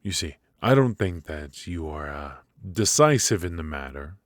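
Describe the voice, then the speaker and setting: Man acting a very deep-voiced, smug mafia boss. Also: very animated, dry recording, clean studio sound. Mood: coolly threatening. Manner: smooth-talking, teasing, deliberate with drawn-out pauses. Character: smug, menacing male mafia boss, courteous and ruthless. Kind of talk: ASMR roleplay acting.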